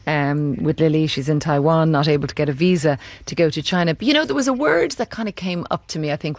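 Quick-talking radio interviewer. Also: medium pitch, vocal fry, no room sound. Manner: quick, conversational. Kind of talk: interview questions.

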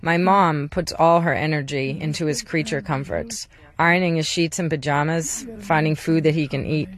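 A woman journalist narrating a personal documentary in a quiet, measured, gentle way. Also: low voice, fairly even intonation. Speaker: journalist narrating a documentary about her father.

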